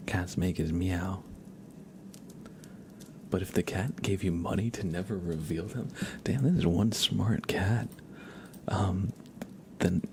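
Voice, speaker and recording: A man hosting an ASMR livestream, chatting casually and gently with viewers in a soft, breathy voice that often drops into a whisper, close to the microphone. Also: low voice, slight background noise. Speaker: livestream host.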